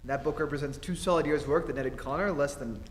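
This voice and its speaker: A man acting an awkward, modest writer of thirty in a radio play, halting and dry. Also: fairly high pitch, lightly acted. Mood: earnest, dry. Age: 30s. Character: awkward, modest thirty-year-old male writer, wryly reticent. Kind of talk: radio play re-enactment on stage. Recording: echoey theatre hall, faint noise.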